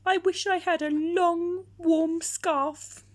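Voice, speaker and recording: Whimpering, high-voiced sad giraffe. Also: female voice, fairly even intonation, takes it slowly. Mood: sad, tearful. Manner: plaintive, whimpering. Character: sad, cold, long-necked giraffe.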